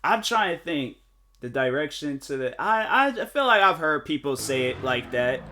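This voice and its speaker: A man's voice: fairly high pitch, big pitch swings, bright, forceful tone, a little hiss or hum. Rambling young man streaming a racing game. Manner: rambling, halting, lots of fillers and restarts. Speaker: gaming streamer. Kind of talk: casual live-stream chat while racing. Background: game music and car engine sounds.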